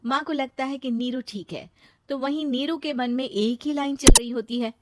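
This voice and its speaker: A woman's voice: high-pitched, moderate pace, heavy background noise. A woman reading a scripted family story in a dramatised storytelling style. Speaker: story narrator.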